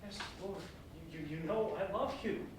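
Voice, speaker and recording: Far from the mic in a very echoey hall, an anguished disciple pleads , acted by a man. His voice is high, and he repeats and stammers his words with devoted urgency, in stage-drama style. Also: moderate pace, background noise, natural voice. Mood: anguished, pleading. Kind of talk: theatrical staged reading.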